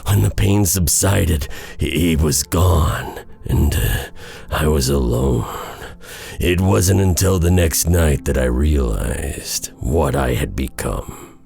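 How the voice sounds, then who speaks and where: A man playing a doomed, remorseful werewolf in a storybook tale, confessing in a halting, haunted and bitter way. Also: extremely low pitch, creaky, darkish tone, exaggerated voice. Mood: faltering dread.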